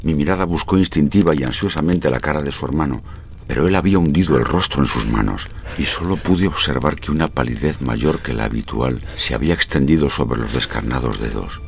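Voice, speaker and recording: An actor playing a grave, concerned gentleman in a Gothic audio drama, solemn and measured. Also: a bass voice, creaky, a little hiss or hum. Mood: solemn, uneasy. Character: grave, concerned gentleman visitor recounting a horror.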